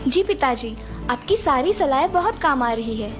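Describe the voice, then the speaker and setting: Casting: woman playing a very high, sweet young wife, respectful tone, clear music bed. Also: animated, normal speed. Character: young pregnant daughter-in-law, sweet and polite. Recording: music behind voice.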